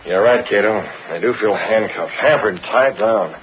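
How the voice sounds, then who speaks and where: A man's voice: fairly low pitch, normal speed, close to an everyday voice. A man playing a cool, restless newspaper boss with a secret, in a vintage American radio drama. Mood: restless, frustrated. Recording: narrow-band, noisy old radio recording.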